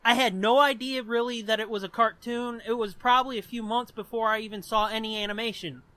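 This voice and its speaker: A man's voice: very high voice, fairly even intonation, brisk, sharp tone, dry recording. A man in his twenties telling a story on a podcast in a rambling, casual way, swearing often. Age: 20s. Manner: profane and rambling. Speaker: podcast co-host. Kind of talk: casual chat.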